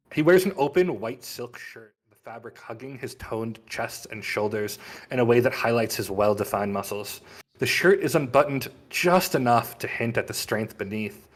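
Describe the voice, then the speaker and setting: A man's voice: medium pitch, fairly bright tone. Man narrating as a game master. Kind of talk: narrating a tabletop roleplaying game.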